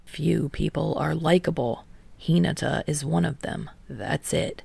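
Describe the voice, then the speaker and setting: A woman voicing a sardonic, grudging young man in a fan fiction audiobook, muttering in a terse, halting deadpan. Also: dry recording, full character voice. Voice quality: low, muttering, breathy.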